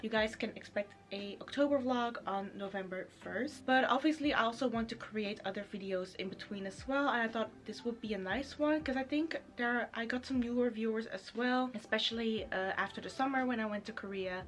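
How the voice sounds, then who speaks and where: Chatty Dutch woman in her twenties. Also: on the high side. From Netherlands. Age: 20s. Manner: chatty, rambling, lots of "like" fillers. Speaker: YouTuber. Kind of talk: casual chat to camera.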